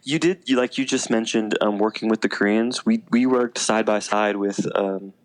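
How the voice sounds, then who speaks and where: Man, thirties, low-pitched, casual storytelling, fillers, laughs often. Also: normal speed. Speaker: podcast guest, veteran and teacher. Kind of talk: casual interview chat.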